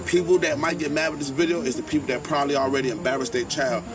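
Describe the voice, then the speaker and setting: Earnest man under loud hip hop. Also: medium pitch, steady tone, fast. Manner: earnest, emphatic. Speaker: parent. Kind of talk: earnest personal talk to camera. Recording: loud music, voice off mic. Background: loud hip hop music.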